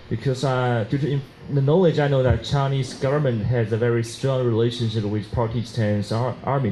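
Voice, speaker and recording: A man's voice: mid-range voice, warm tone, a little hiss or hum, low-quality recording. A man from the audience asking a hesitant, polite question.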